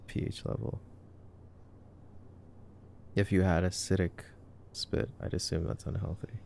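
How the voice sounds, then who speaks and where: A man's voice: low voice, flat delivery, measured pace, vocal fry, a little mellow, slight background noise. A young man giving casual ASMR movie commentary in a laid-back, joking, murmured way. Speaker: ASMR boyfriend roleplayer commenting on a film.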